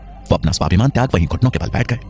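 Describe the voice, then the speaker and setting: Animated male storyteller over music. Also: lowish voice, racing. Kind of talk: dramatic scripted Hindi story narration.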